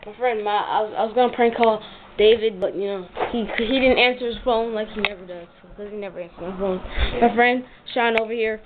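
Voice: medium pitch, brisk. Young casual vlogger, tinny sound. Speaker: amateur video maker. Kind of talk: casual rambling vlog chat. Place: living room at home. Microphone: handheld camera mic. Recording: tinny handheld camera, some noise.

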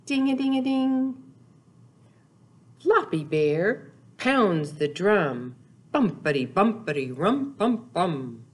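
A woman's voice: mid-range voice, big pitch swings, slow pace, long pauses, breathy edge. An older woman presenting storytime for small children, talking and reading aloud in short, simple phrases with playful sound words. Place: small indoor room, seen seated before a wall.

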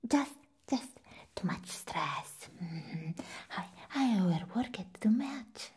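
A young woman playing an unhinged, nervous, hungry vampire nurse in a whispered ASMR roleplay, halting and repeating words, close to the microphone. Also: mid-range voice, expressive, darkish tone, slightly rough, full character voice. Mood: unstable, giddy. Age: young adult.

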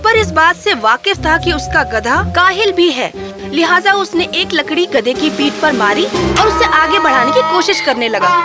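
High, lively woman storyteller over music. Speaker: story narrator. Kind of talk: scripted children's story narration.

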